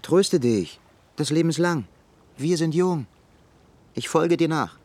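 A man's voice: on the high side, lively intonation, slow, darkish tone. An actor playing an ambitious, combative young man from a poor background in a radio play. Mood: consoling, urgent.

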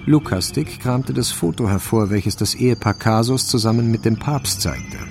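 A man's voice: mid-range voice, moderate pace, vocal fry, music in the background, close to an everyday voice. An actor playing a sober, wry male narrator, reading scripted literary narration in a radio crime drama. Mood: wryly detached.

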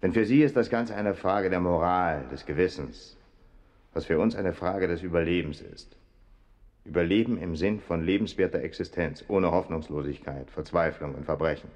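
A defiant jailed bomber and war veteran in a radio thriller. The voice is deep. The delivery is sardonic and scornful, full of bitter contempt for the enemy. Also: male voice, moderate pace, darkish tone. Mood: bitter conviction. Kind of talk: 1970s German radio crime drama acting.

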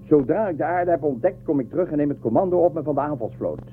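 A man acting a scheming, self-assured space ruler in a radio serial. His voice sits a little high, with a commanding theatrical delivery. The sound is thin as a phone line, with faint noise. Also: lively intonation, fast, lightly acted. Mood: scheming, ambitious.

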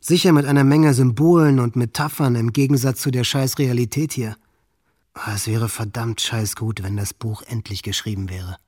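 Man acting a teenage boy, bitter, brooding, profane, mid-pitched, dry studio sound, radio drama. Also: moderate pace, a little mellow, no room sound, natural voice. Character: bitter, self-important male teenage school shooter reading his diary.

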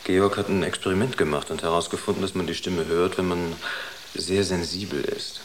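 A man playing a calm, authoritative spaceship crew leader in a German sci-fi radio drama, measured and matter-of-fact. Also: deep voice, roomy sound, slight background noise, lightly acted.

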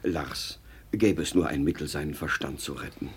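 An actor in a German radio play playing a dignified, courteous parish provost with a measured, concerned manner. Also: male voice, very deep voice, a little hiss or hum. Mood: grave concern.

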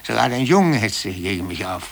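An actor in a radio play voicing an embittered, brooding middle-aged office clerk who speaks haltingly and repeats himself. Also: male voice, lowish voice, big pitch swings, vocal fry, heavily acted. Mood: bitter, resigned. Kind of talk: 1950s German radio play acting.

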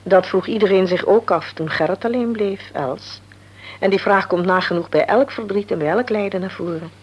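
An actress playing a middle-aged mother in a radio drama, explaining things patiently and calmly. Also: mid-range voice, moderate pace, slightly rough, natural voice, rough recording. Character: calm, wise mother of an adult daughter.

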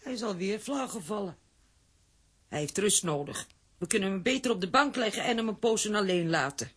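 A woman's voice: medium pitch, expressive, normal speed, a bit bright, a little hiss or hum, lightly acted. A woman playing a fretful, caring, motherly character in a children's radio play. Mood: soothing.

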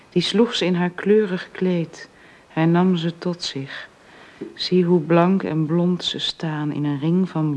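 A woman's voice: deep voice, darkish tone. An actress playing a devoted, dutiful woman, tender but firm, in a natural conversational radio drama style. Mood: soft reciting.

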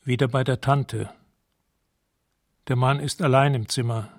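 A man narrating a radio drama in a measured, detached, matter-of-fact way, as if describing scenes. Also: medium pitch, steady tone, no echo, clean studio sound. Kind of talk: scripted present-tense radio drama narration.

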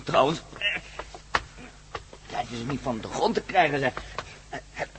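A man's voice: mid-range voice, expressive, normal speed, natural voice. A man acts out a hesitant, stammering friend in an old radio play. His voice swoops up and down as he trips over his words. A faint hiss sits under the recording. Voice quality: stammering, nervous giggling.

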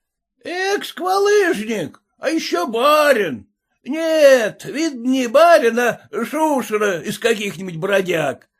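A man voicing a drunk red-faced peasant who shouts rude abuse at his passengers. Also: very high voice, big pitch swings, slow pace, pitched above the performer's own voice, heavily acted. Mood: drunken abuse.

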